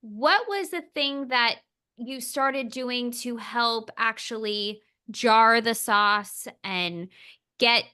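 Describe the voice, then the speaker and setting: A woman hosts a casual interview with gushing enthusiasm, winding her way through long questions. Her voice sits a little high, bright and ringing with a smooth, crisp clarity. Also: slow pace. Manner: enthusiastic, effusive, rambling questions. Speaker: interview host. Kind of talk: conversational interview.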